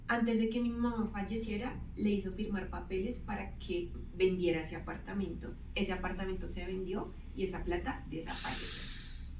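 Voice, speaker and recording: A woman's voice: medium pitch, fairly even intonation, moderate pace. Woman telling her story in a roomy space. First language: English. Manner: hesitant storytelling with many fillers. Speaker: guest. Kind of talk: personal testimony. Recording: roomy, distant mic, faint background noise.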